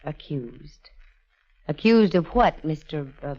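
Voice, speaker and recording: Casting: sardonic female private eye, low register, breezy slang, old-time radio drama, dull vintage recording. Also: expressive, takes it slowly, exaggerated voice. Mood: defiant. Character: wisecracking, fearless female private detective. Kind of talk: 1940s radio detective acting.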